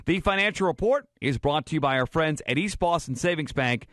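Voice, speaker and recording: A male radio newsreader delivers a financial report in a news style. His voice is a little high and recorded very dry. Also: animated, fairly quick, a bit bright, no echo.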